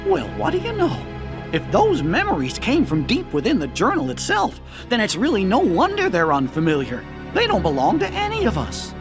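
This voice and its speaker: Very high, squeaky little cartoon adviser. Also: male voice, huge pitch swings, moderate pace, over music, heavily acted. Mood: pondering. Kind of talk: animated game character acting.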